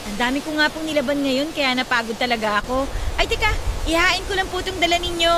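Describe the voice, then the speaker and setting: A woman's voice: high voice, lively intonation, fast talker, noisy background. A woman playing a cheerful, cheeky young singer chatting respectfully with her mother in a Tagalog radio drama. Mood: warm, casual. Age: young adult. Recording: loud music bed behind the voice.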